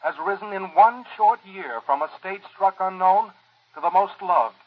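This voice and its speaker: A man's voice: high-pitched, moderate pace, close to an everyday voice. An actor playing a gushing, dramatic male Hollywood gossip commentator on 1940s radio. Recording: muffled, tinny old radio sound.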